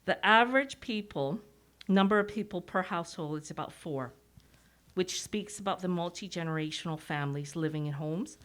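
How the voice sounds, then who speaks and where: A woman's voice: on the low side, moderate pace, fairly bright tone. A middle-aged woman with a Canadian accent giving an informal, self-deprecating public presentation, speaking through a mask. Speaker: executive director giving a presentation. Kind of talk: public presentation, partly read from notes.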